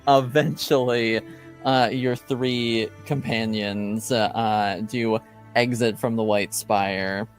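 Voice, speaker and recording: A man narrates a tabletop game as its game master. His delivery is halting and unhurried, improvised over faint background music. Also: medium pitch, fairly even intonation.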